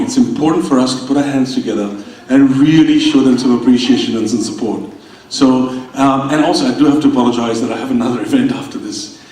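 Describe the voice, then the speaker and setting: A man's voice: medium pitch, recorded from a distance, slight background noise. Man, Indian-Australian accent, echoey hall. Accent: mixed Indian and Australian English. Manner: formal, warm, with fillers and restarts. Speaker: politician giving a speech. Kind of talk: public welcome speech. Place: school event hall with audience. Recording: echoey hall, mic heard from afar.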